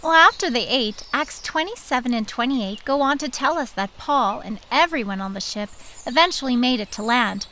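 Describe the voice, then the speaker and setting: High, upbeat lady park ranger, played by a woman. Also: normal speed, few pauses, slight background noise. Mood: animated. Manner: animated, explanatory. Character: Ranger Abby, upbeat, kindly female park ranger storyteller. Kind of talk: children's audio drama storytelling.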